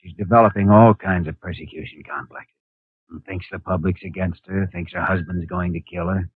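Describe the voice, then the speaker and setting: A deep-voiced young doctor. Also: male voice, steady tone, moderate pace, husky, dry recording. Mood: explaining. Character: calm young male doctor, earnest. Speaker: hospital doctor. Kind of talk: 1950s radio drama acting. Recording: tinny old 1950 radio broadcast.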